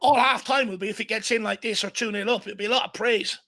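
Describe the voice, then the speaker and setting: A hoarse Irish man hosting a fan watchalong, giving excited live commentary and urging the players on. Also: high voice, fast. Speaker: fan channel host. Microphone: home desk mic.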